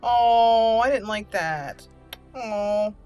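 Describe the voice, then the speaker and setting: A woman's voice: fairly high pitch, very slow, dark tone, smooth, muffled recording. Woman doing roleplay game commentary, music behind. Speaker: let's play host. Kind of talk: casual game roleplay commentary, reading dialogue in character.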